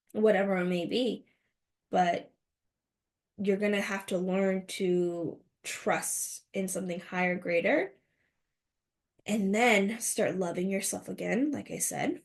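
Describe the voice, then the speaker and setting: A woman's voice: mid-range voice, vocal fry, darkish tone. A woman in her thirties hosting a motivational podcast, speaking earnestly and asking rhetorical questions. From United States. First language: Mandarin. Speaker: podcast host.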